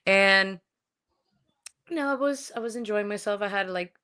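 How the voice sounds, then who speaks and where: A woman with a bright, forceful voice talks as a guest in a casual interview. She tells her story in an animated, halting way, leaning on repeated words for emphasis. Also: mid-range voice, clear, resonant tone. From Colombia.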